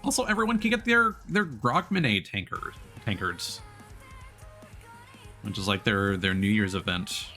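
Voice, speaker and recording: A man's voice: fairly low pitch, animated, a little slow, sharp tone, faint music behind. A man livestreaming a video game, giving casual, explanatory commentary. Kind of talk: casual live gaming commentary.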